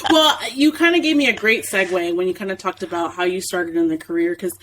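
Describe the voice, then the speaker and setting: A woman's voice: lowish voice, a little fast, a bit bright, poor audio. A woman hosting a podcast interview, chatting with her guest in a friendly, casual way. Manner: friendly, chatty, gets stuck on a word and asks the guest for it. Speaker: podcast host. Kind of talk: interview host, casual chat.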